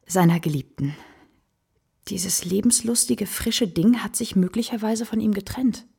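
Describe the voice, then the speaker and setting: An actress playing a worldly, self-possessed kept woman of the theatre in a radio play, courteous and theatrical, with a husky, gravelly voice. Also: medium pitch, unhurried, darkish tone, full character voice. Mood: composed, discreet. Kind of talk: German radio play acting.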